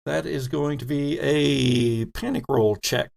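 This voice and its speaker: A man narrating a tabletop horror role-playing game as game master, setting scenes deliberately with pauses mid-phrase. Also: on the high side, creaky, darkish tone.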